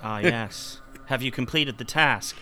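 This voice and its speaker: A man's voice: mid-range voice, measured pace, bright, forceful tone, soft background music. A man voicing a curt, commanding special agent who gives orders in an improvised audio role-play. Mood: brisk, demanding. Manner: clipped, commanding.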